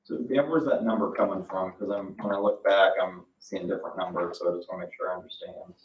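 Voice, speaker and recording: A low-pitched man asks questions at a public meeting. His phrasing is informal and tentative, with casual filler words as he works through his point. The mic is some way off and the room rings with echo. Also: steady tone, fast talker, distant mic, muffled recording, a little hiss or hum. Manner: tentative, conversational, often says "like" and "you know". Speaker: board member asking questions.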